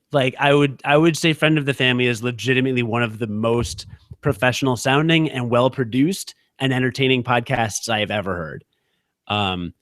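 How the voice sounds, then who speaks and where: A man at medium pitch co-hosting a casual podcast. He rambles, circles back and restarts sentences, with plenty of fillers. His voice sounds dry and close to the mic, with no room around it. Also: a bit bright, dry recording. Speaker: podcast co-host.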